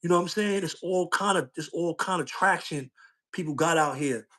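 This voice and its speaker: Middle-aged male streamer with a slightly higher voice, loose street slang, and a repetitive, testifying delivery. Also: normal speed, bright, forceful tone. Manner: street slang, loops back with "you know what I'm saying". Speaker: social media streamer. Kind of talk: casual livestream talk.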